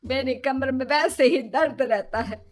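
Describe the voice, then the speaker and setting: A woman playing a sharp-tongued older mother-in-law who scolds and taunts in a children's cartoon. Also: high voice, measured pace, soft background music. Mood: indignant. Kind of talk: Hindi children's cartoon dialogue.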